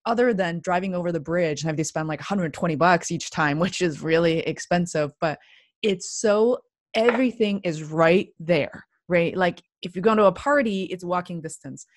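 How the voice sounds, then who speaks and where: Low-voiced chatty woman interviewer. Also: normal speed, no room sound. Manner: chatty, rambling, restarts often. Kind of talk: casual conversational interview.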